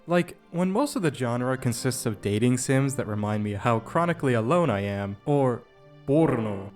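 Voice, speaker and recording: A man's voice: medium pitch, lively intonation, moderate pace. A man narrating a scripted video essay in a casual, jokey, sarcastic and self-deprecating way, with slangy phrasing. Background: background music.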